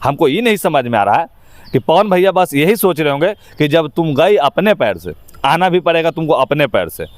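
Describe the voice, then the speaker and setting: A man's voice: on the high side, sing-song, normal speed, no room sound. A man with a Bihari accent giving his opinions in an informal interview, speaking emphatically in colloquial, rustic phrasing. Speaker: interview guest, actor.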